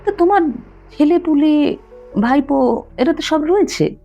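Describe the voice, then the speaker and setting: A woman's voice: sits high, expressive, a little slow, dull audio, faint music behind, pitched above the performer's own voice. A woman voicing a kindly, curious doctor who chats familiarly with employees in a story. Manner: inquiring, familiar.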